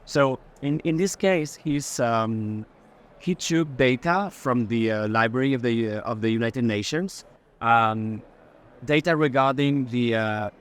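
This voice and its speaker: Man, mid-range voice, gallery guide, halting and informal, explaining work on the spot. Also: mid-range voice. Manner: halting, pausing often to find words. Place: art fair booth.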